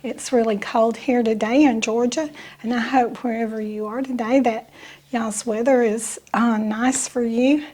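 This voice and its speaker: A woman with a Southern US accent hosts a casual cooking demonstration. Her voice is high, with a slight rasp from bronchitis. She is chatty and homey, talking through each step as she goes. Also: fairly even intonation, unhurried, a little mellow. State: mild bronchitis, slightly raspy voice. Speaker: host of a home cooking channel.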